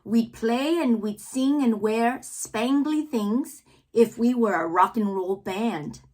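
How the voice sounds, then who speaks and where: A woman reading poems aloud for children in a theatrical way, drawing out words and pausing between phrases. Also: fairly high pitch, darkish tone. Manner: theatrical, drawn-out words with pauses. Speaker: read-aloud host, children's reader. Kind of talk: expressive poetry read-aloud for children.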